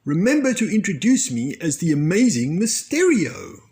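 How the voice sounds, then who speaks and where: A man's voice: fairly high pitch, wildly swooping pitch, normal speed, a little mellow, pitched above the performer's own voice. A man voicing a cheerful father pig who plays magician, announcing grandly in a storybook reading. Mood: showy, eager.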